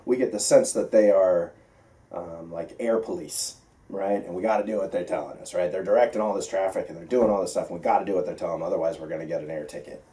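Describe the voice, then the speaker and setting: Mellow American man teaching, distant mic. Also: sits low, fast talker. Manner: conversational, emphatic, checks understanding with "right?". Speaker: flight instructor teaching pilots. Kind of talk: informal classroom lecture with questions.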